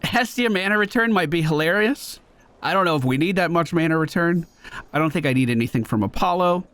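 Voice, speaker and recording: A man's voice: a little high, expressive, a little fast, a bit bright, clean tone, dry recording. A man streaming a video game, giving casual, jokey running commentary and talking himself through play. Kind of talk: live gameplay commentary. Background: game music.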